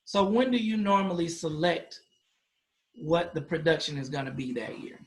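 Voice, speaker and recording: A man's voice: a little high, animated, normal speed, slight background noise. A man interviewing a guest about school activities in a casual, conversational way. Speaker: interviewer, school athletics host.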